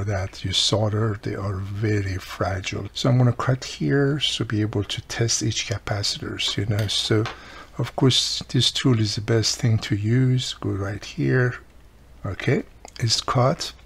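A man's voice: fairly low pitch, gravelly, husky. A middle-aged man giving casual, hands-on instruction in an explanatory way, with frequent small cautions. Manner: explanatory, repeats "you know" and cautions. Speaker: radio repair video host. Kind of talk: casual hands-on repair tutorial. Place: indoor workbench.

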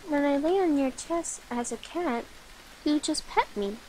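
A woman playing an affectionate, sleepy young cat-girl familiar in a soft, coaxing, playful ASMR roleplay. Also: high voice, animated, measured pace, slight background noise, low-quality recording. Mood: affectionate, tender.

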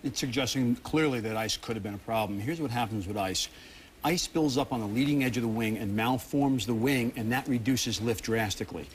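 American guy in middle age explaining technical stuff on live tv, emphatic, mid pitch, faint noise. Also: a little fast. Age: middle-aged. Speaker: aviation expert guest. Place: television news studio.

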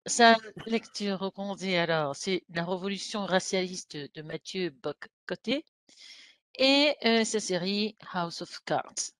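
A woman's voice: medium pitch, lively intonation, slow, a bit bright, no room sound. A woman in her thirties hosting an informal video call panel and presenting the guests. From lives in Mexico. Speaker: host.

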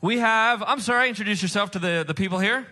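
A man's voice: high voice, fast talker, pushed and bright. A man hosting a comedy game show on stage, with a showy, emphatic announcer delivery. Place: stage before a live audience.

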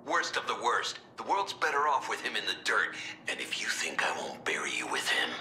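An actor playing a gruff, hostile military officer in a video game, gravelly, menacing and scornful. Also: male voice, lowish voice, wildly swooping pitch, moderate pace, sharp tone, roomy sound, soft background music, heavily acted. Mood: angry. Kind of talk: dramatic video game voice acting.

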